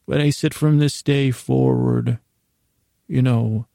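Guy rambling a whimsical bedtime podcast, super creaky voice, close dry sound, keeps trailing off. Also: medium pitch, steady tone, no room sound. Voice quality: creaky, gravelly, mellow voice. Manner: meandering, halting, trails off mid-thought, whimsical. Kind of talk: rambling, improvised bedtime monologue to listeners.

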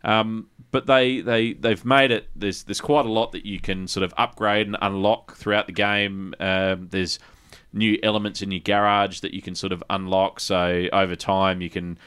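An Australian man with a fairly low, clean and resonant voice hosts a casual gaming podcast. He talks colloquially, repeating himself as he finds his words, and swears freely. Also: steady tone, moderate pace, fairly bright tone, clear, resonant tone. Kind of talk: casual chat.

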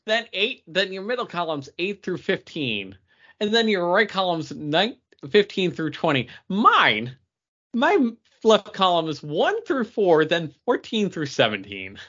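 A man's voice: high voice, big pitch swings, unhurried, pushed and bright. Man thinking aloud, lo-fi sound. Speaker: podcast co-host. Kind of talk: casual film discussion.

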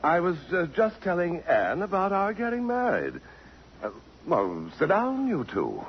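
A charming, glib suitor in a vintage radio drama. His voice is fairly high and swings very widely as he eagerly presses his case. The old broadcast is muffled, with music behind. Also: wildly swooping pitch, measured pace, slight background noise, heavily acted. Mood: flustered. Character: charming, smooth, persistent younger suitor. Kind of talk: 1950s radio drama acting.

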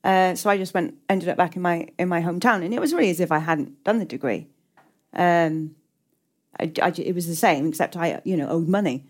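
A woman's voice: lowish voice, brisk, darkish tone. A middle-aged woman with an English accent giving reflective interview answers as a writer, hesitant and often repeating and restarting her words. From England.

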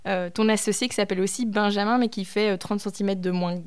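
A woman's voice: mid-range voice, fairly even intonation, fast talker, clear, resonant tone. A woman hosting a podcast, speaking in an informal, conversational way as she presents and interviews. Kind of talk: scripted introduction and casual phone interview.

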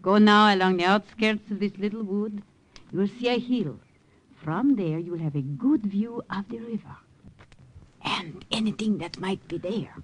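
A woman's voice: medium pitch, normal speed, lightly acted. Cautious older Frenchwoman, played by an actress, accented, old radio recording with faint hiss. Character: older French woman, protective aunt figure. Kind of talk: 1940s radio acting.

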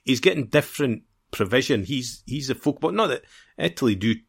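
A man's voice: mid-range voice, animated, brisk, vocal fry, husky. A man co-hosting a football podcast in a casual, discursive conversational way.

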